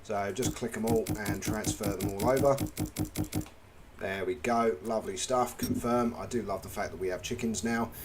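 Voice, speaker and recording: A man's voice: lowish voice, moderate pace, very noisy. An English man giving casual live commentary as he plays a management game, talking through each step and chatting with viewers. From England. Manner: chatty, narrates each click, greets chat. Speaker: game streamer. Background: game music.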